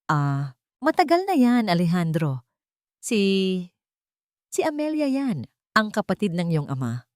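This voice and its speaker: A woman voicing an old male family lawyer who gives quick, rehearsed-sounding answers. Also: fairly low pitch, wildly swooping pitch, darkish tone, no room sound, clean studio sound. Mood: evasive.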